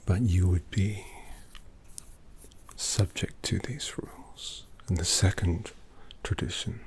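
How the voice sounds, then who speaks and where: A man voicing a refined, courteous vampire painter in a whispered ASMR roleplay, polite and quietly menacing. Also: deep voice, takes it slowly, slight background noise. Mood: hushed. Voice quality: soft whispery delivery with gravelly creak.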